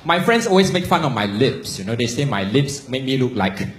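Man doing stand-up in a club. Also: mid-range voice. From Singapore. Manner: deadpan, slow punchline delivery. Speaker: stand-up comedian. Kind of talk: stand-up set. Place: comedy club stage. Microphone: handheld mic. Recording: echoey club, audience noise behind.

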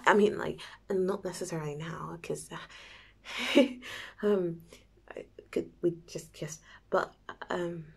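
A woman playing a nervous, giddy young woman in an ASMR roleplay, stammering softly and often whispering in a halting, giggly way. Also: on the low side, full character voice. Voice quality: soft, breathy, often whispered. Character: nervous, giddy young woman before and after first kiss.

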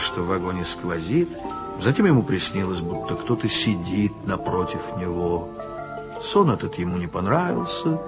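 A man narrating a radio play in a measured, wry storytelling manner. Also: lowish voice. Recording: dull old radio play recording with music.

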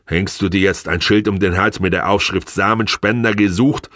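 A weary, stubborn husband in an audiobook, acted by a man. His voice is fairly low and closely recorded without room sound. He keeps himself controlled, arguing defensively and trying to reason. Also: steady tone, moderate pace, a bit bright, dry recording, studio quality. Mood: cold, bitter. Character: weary, cornered husband, stubbornly unimpressed, placating. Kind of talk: audiobook character dialogue.

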